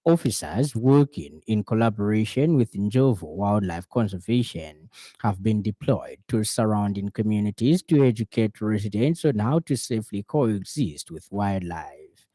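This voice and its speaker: A Zambian man reads a scripted news bulletin on the radio. His voice is low and mellow, with a formal, measured delivery. The sound is close and dry, like a studio booth. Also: animated, no room sound.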